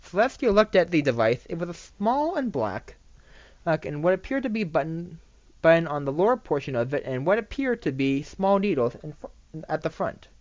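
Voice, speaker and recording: Animated, halting amateur story narrator. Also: medium pitch, no room sound, highs cut off. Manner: halting, often stumbling and repeating words. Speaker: narrator reading fan fiction.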